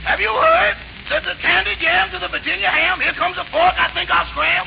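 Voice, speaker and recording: An actor playing a sly male valet in a 1940s radio comedy, with a gravelly voice. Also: extremely high pitch, a little fast, heavily acted. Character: sly male valet caught throwing a party. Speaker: valet character. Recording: lo-fi 1940s radio broadcast with hiss.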